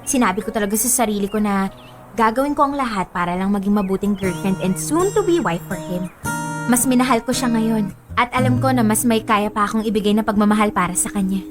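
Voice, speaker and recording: A woman's voice: mid-range voice, a little fast, music in the background, natural voice. An actress with a Filipino accent playing a giddy, girlish young bride-to-be in a radio drama, gushing to her friend. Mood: earnest.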